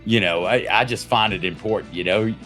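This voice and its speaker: A man hosting a casual, chatty interview show over a video call, rambling and halting as he repeats himself. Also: lowish voice, bright, forceful tone, soft background music.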